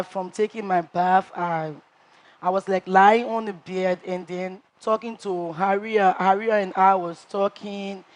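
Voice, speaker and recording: A woman playing a brash reality-show contestant who tells her story in an animated way and acts out what others said. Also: mid-range voice, natural voice.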